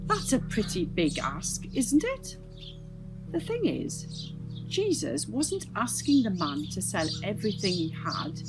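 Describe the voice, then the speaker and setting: A woman's voice: mid-range voice, low-quality recording. A woman explaining a story to children in a conversational, emphatic way. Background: music.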